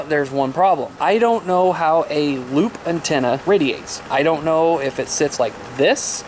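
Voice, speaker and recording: An American man in his thirties chatting casually to camera as a vlog host, laid-back and informal. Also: fairly high pitch. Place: outdoors by a mobile home, later a small room indoors. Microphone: camera at arm's length. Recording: clear outdoor noise, handheld camera.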